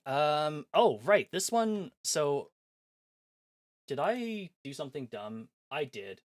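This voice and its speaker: A man streaming a visual novel, reading its text aloud and chatting between scenes in an animated, self-mocking, jokey way. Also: a little high, slow, no echo. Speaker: game streamer. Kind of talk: live-stream chat, reading a game aloud.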